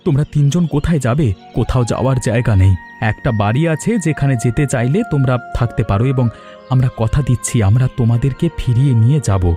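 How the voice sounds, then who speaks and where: A man's voice: medium pitch, quick pace, mellow, faint music behind, natural voice. A man playing a dutiful grown son in a cartoon, earnest and reasoning. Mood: reassuring. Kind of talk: Bengali cartoon voice acting.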